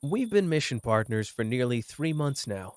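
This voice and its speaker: A man's voice: medium pitch, big pitch swings, moderate pace, darkish tone, no room sound, clean studio sound, natural voice. A man playing a cocky young sorcerer who teases tenderly and talks informally, in an intimate roleplay performance. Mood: awkward, sincere. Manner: informal, hesitant when talking about feelings. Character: cocky young male sorcerer, teasing yet tender with his partner. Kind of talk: intimate roleplay audio acting.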